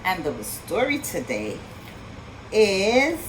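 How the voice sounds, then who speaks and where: A woman reading a story aloud for young children in an expressive, sing-song way, pausing to ask her listeners questions. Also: mid-range voice, over music, rough recording. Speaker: children's storytime reader and teacher.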